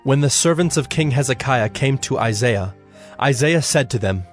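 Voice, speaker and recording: A man's voice: medium pitch, animated, normal speed, faint music behind. A man narrating scripture from a script as the storyteller of an audio drama.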